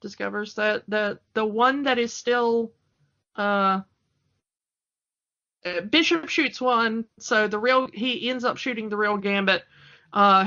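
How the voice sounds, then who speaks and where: A woman's voice: on the high side, sharp tone, dull audio. A woman co-hosting a podcast, chatting casually through a recap with a fan's wry asides. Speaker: podcast co-host. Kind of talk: casual chat recapping a TV cartoon.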